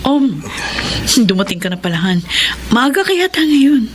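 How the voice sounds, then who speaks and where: A woman playing a gentle, earnest wife and mother in a radio drama, with a Filipino accent. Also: a little high, sing-song, a little slow, background noise. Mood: warm, domestic.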